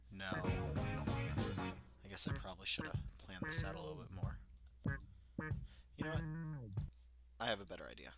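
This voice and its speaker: Slow, bright-voiced young man streaming. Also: on the low side. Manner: offhand, thinking aloud while setting up. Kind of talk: casual livestream chat.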